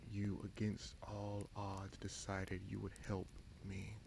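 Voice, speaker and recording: Man acting a low, gravelly, scheming fantasy prince. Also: completely flat delivery, background noise. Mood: brooding, distrustful. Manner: menacing, sardonic, deliberate with dramatic pauses and sighs. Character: bitter, scheming bastard prince commanding a captive dragon. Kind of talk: dramatic fantasy audio roleplay addressed to the listener.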